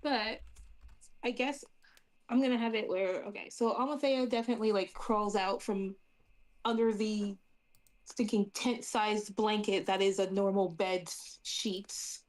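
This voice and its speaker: A woman's voice: mid-range voice, steady tone, a little slow, poor audio. A woman playing a tabletop role-playing game, chatting and improvising casually in a playful way.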